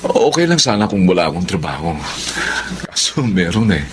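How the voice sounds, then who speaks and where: Deep, animated, earnest husband. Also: male voice, normal speed, background noise. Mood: uneasy. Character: loyal, uneasy working husband, good-natured man. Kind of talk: Filipino radio drama acting.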